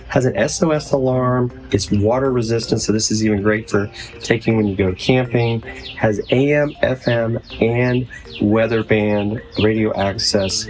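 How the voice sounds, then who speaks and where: A man's voice: on the low side, darkish tone. A man giving a casual, conversational product demonstration as a video reviewer.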